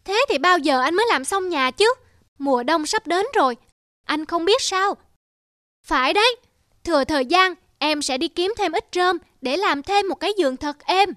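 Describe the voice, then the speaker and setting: A woman's voice: very high voice, animated, normal speed, fairly bright tone, dry recording, studio quality. A woman voicing a carefree, boastful young rabbit brother in a children's cartoon, exclamatory and sing-song.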